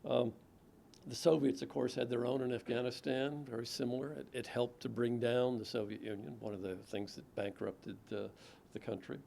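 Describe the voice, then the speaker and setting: A middle-aged man speaks as an author fielding audience questions. His voice carries a gravelly edge. He talks in a measured, reflective way and restarts his sentences often. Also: steady tone, mellow, a little hiss or hum. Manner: measured, thoughtful, with frequent restarts and low murmured "mm-hmm" while listening. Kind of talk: lecture Q&A answers.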